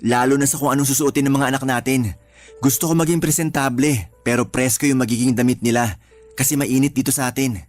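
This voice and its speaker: A man's voice: medium pitch, fairly even intonation, quick pace, faint music behind, close to an everyday voice. A man playing a protective, placating husband and father in a radio drama, speaking earnestly. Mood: placating, tender. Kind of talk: radio drama acting in dialogue.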